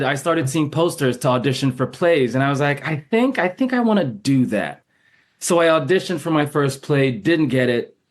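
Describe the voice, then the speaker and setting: A man from Jamaica, an actor guest, telling stories in a casual interview with lots of laughter, acting out his own past lines. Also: mid-range voice. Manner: animated, laughs often, acts out his own past remarks.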